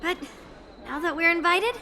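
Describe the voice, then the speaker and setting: A woman's voice: very high voice, normal speed, bright, forceful tone, slight background noise, heavily acted. A cheeky young swordswoman. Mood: lively. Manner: teasing, confident, chatty. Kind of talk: video game voice acting. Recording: game cutscene over music. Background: background music.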